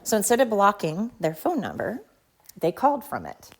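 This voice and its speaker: A woman's voice: medium pitch, wildly swooping pitch, normal speed. A woman hosting a solo podcast in a chatty, rambling, self-deprecating and slangy way, talking into her phone. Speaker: podcast host. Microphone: talking into a phone while pacing.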